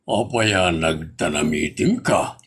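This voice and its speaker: A man plays a crafty older eatery boss in an Ilocano comedy drama, slow and husky. He has an Ilocano accent and a measured, money-minded air. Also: sits low, lively intonation, a bit bright, heavily acted.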